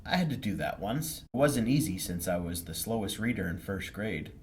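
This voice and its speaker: A man's voice: fairly low pitch, lively intonation, normal speed, darkish tone, slight background noise, lightly acted. A man voicing a supportive, encouraging mother in a storybook reading. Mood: reassuring.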